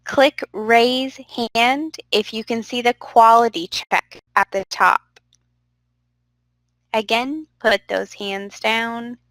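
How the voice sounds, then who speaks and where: A young woman leads an online class in a bright, clear voice, encouraging and direct with her students. She sounds a bit stuffy from a cold. The recording is close and dry, with no room echo. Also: a little high. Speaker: instructor. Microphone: computer microphone.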